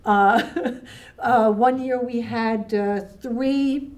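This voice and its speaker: A woman's voice: a little high, fairly even intonation, drawn-out words, a little mellow, recorded from a distance. A woman speaking casually and conversationally as a festival organizer, explaining how things are run. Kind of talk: casual interview talk.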